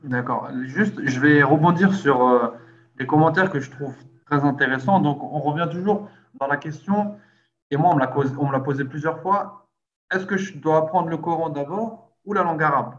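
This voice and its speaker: A man's voice: a little high, normal speed, muffled recording. A man hosting a casual conversation, explaining things plainly and then putting questions to his guest. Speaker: host. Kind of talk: casual chat.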